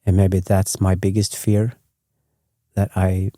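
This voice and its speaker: A man with a Swedish accent, English his second language, drifts through slow, meandering bedtime talk in a deep, mellow voice that barely rises or falls. He keeps correcting himself and wandering off the point. The sound is dry and close. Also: level tone, no room sound. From Sweden. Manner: rambling, self-correcting, gently chatty. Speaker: sleep podcast host.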